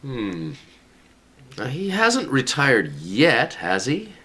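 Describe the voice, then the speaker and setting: A man playing a scheming, authoritative senior commission boss in a radio sitcom, pompous and deliberate. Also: mid-range voice, huge pitch swings, pushed and bright, breathy edge, heavily acted. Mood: sly. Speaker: actor in a radio play. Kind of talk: sitcom-style radio comedy acting.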